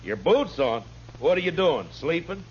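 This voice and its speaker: An American actor playing a firm, laconic frontier marshal in a 1950s radio western. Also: male voice, fairly high pitch, big pitch swings, measured pace. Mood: impatient. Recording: muffled, noisy old radio recording with hum.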